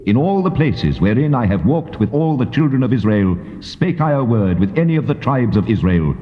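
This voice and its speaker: Man voicing God, booming in vast reverb. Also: big pitch swings, moderate pace, a little hiss or hum, close to an everyday voice. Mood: solemn, commanding. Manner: declamatory, stately. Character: God, solemn commanding divine voice. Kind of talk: dramatized scripture reading. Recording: cavernous reverb, muffled tape.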